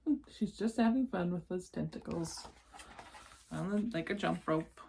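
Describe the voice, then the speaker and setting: A woman's voice: on the low side, normal speed, vocal fry, mellow, a little hiss or hum. A woman reading a children's story aloud expressively, adding chatty asides for young listeners.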